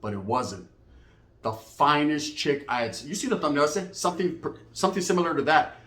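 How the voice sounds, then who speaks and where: A man's voice: a little high, a little fast, reverberant. An American man telling a story in a casual, slangy, comedic way, acting out both sides of conversations. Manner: animated raconteur, acts out both sides of conversations.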